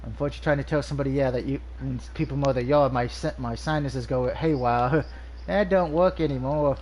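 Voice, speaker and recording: A man's voice: mid-range voice, brisk, a little mellow, a little hiss or hum, low-quality recording. A man with a nasal, stuffy voice from allergies, casually reviewing a video game over gameplay, rambling with frequent ums and restarts.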